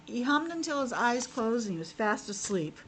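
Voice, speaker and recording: A woman reads a picture book to young children in the manner of a teacher, with simple asides to them. Her voice is fairly high and very animated, with faint noise behind it. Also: moderate pace. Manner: expressive, simple child-directed talk.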